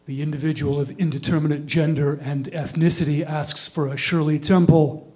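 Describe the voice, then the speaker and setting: A middle-aged man performing a scripted comic monologue in ornate wording, with deliberate, deadpan delivery. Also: on the high side.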